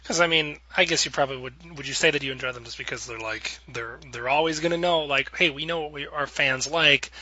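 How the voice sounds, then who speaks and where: Male host, very bright sharp voice, slightly high pitch, casual rambling panel chat. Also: brisk, few pauses, muffled recording. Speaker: podcast host.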